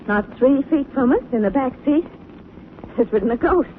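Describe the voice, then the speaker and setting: A woman's voice: sits high, expressive, normal speed. An actress playing a sleuth's apprehensive lady companion in theatrical 1940s radio style. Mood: jittery. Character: nervous lady companion of a sleuth, spooked by ghosts. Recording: very hissy, phone-like 1940s radio recording.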